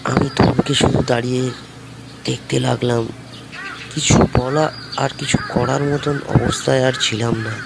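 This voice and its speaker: A man's voice: mid-range voice, fairly even intonation, normal speed, vocal fry, heavy background noise. A man narrating a scripted horror story in storytelling fashion. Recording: loud music under the voice. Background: loud background music.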